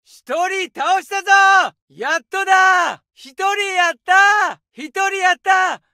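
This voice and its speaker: A man voicing an aggressive young male infantry soldier in a war game, shouting taunts and orders. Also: extremely high pitch, slow, no room sound, clean studio sound, full character voice. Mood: taunting.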